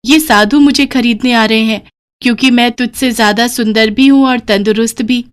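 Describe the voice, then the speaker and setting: High female voice, woman acting as vain storybook goat, boastful, expressive cartoon delivery. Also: moderate pace, lightly acted.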